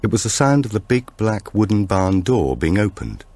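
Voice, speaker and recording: Man, very deep voice, scripted thriller narration, measured and suspenseful, lively intonation. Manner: measured, suspenseful storytelling with animated dialogue. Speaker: audiobook narrator.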